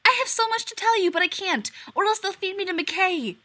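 A woman voicing a bubbly, excitable young female lab scientist who gushes as she talks. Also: very high-pitched, brisk, voice pushed up, heavily acted. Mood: excited. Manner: excitable, gushing.

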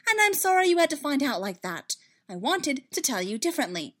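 An earnest, loving woman from an audiobook romance, put on by a female reader. She is firm and insistent, leaning on her words in a fairly high voice. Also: very animated, measured pace, a little mellow. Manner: emphatic, insistent.

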